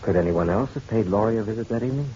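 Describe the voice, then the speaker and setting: An actor playing a suave, hard-boiled private detective in a radio drama, measured and courteous with a dry edge. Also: male voice, on the low side, warm tone, slight background noise, close to an everyday voice. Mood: probing. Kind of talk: noir radio drama acting and narration. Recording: dull radio-drama recording, music behind.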